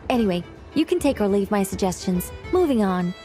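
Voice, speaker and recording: A woman's voice: medium pitch, very animated, brisk, warm tone, natural voice. A woman voicing a proud, needy, whimsical ancient mage in an anime game, theatrical, chatty and self-conscious. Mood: excited. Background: music.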